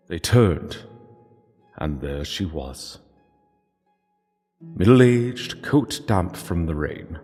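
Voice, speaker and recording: A man giving solemn scripted literary narration in a hushed, measured way, with dramatic pauses. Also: a bass voice, slightly rough. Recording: long hall reverb, music underneath.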